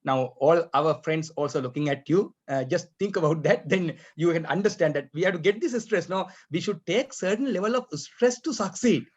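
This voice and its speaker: A man running a mock speaking exam as tutor, talking haltingly with many fillers and often clearing his throat, heard over a video call. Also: a little high, lively intonation. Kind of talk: examiner questions over an online class. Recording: dull lo-fi online call audio.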